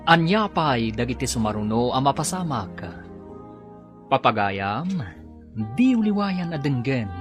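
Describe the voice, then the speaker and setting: Guy announcing a radio soap, big dramatic emphatic delivery, swoopy pitch, music under him. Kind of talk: dramatic scripted radio narration. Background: dramatic theme music.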